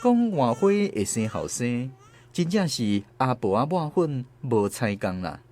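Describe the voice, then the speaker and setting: A woman's voice: extremely low pitch, sing-song, a little slow, dark tone, heavily acted. A woman with a Taiwanese accent narrating a radio drama as a grown daughter recounting her family's past. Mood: reflective.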